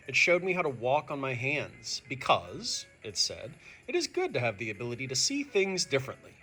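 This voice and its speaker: A male teacher reads a storybook for children in a bright, mid-pitched voice. His intonation is very animated and expressive, addressed straight to young listeners. Soft music sits faintly behind him. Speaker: teacher reading a picture book to students. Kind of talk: animated children's read-aloud.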